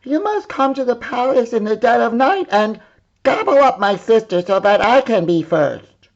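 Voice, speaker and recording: A man plays a spoiled young storybook princess, pitched way up high. She is bossy, jealous and demanding, with big swoops in pitch, heard on a slightly dull recording. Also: expressive, moderate pace, vocal fry, heavily acted. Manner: demanding, imperious. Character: bratty, jealous, bossy little princess girl. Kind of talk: storybook read-aloud character voice.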